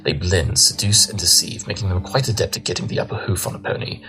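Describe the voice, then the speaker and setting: A man's voice: low-pitched, lightly acted. A man acting a brilliant, arrogant pony detective in an audio drama, lecturing in a pompous, condescending way. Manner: pompous, rapid-fire lecturing.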